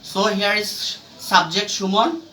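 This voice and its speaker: A man with a Bangladeshi accent giving a formal classroom lecture as a teacher, in a deliberate, halting phrase-by-phrase way, recorded in an echoey room. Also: high-pitched, steady tone, pushed and bright. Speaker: English grammar teacher. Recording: echoey room, distant mic, faint noise.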